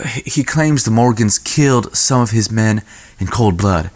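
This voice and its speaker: A man's voice: lowish voice, expressive, normal speed, natural voice. A man playing a timid, apologetic frontier shopkeeper in a Western audio drama, speaking hesitantly and formally. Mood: nervous, apologetic.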